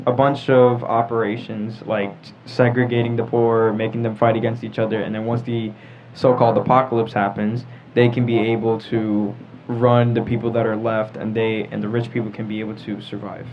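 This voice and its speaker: A man's voice: lowish voice, little pitch movement, normal speed, few pauses. A young man moderating a casual group discussion, speaking informally. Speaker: podcast moderator. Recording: muffled audio with clear background noise.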